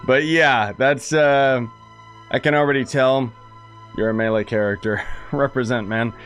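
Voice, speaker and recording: A man's voice: medium pitch, steady tone, unhurried, a bit bright. A man giving casual, off-the-cuff livestream commentary on a game, blunt and sardonic. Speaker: game streamer. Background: faint game music.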